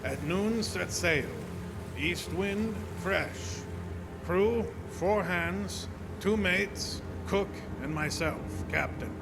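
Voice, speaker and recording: Radio play sea captain: high male voice, very deliberate, terse log entries, loud music underneath. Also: creaky, heavily acted. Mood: sober, ominous. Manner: clipped phrases, deliberate. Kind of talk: dramatic radio acting.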